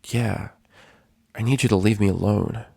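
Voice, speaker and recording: A man's voice: on the low side, fairly even intonation, unhurried, creaky, dark tone, slightly rough, no echo, clean studio sound, exaggerated voice. A man voicing an angry, despairing young female pegasus pony in a storybook reading, curt and bitter.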